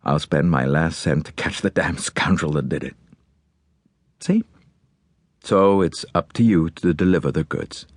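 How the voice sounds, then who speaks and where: A man's voice: a bass voice, measured pace, pauses often, dry recording, studio quality. A man voicing a large, older, blunt American millionaire and grieving father, with an American accent, in an audiobook. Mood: grim, determined. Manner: blunt, forceful, businesslike. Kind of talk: audiobook character acting.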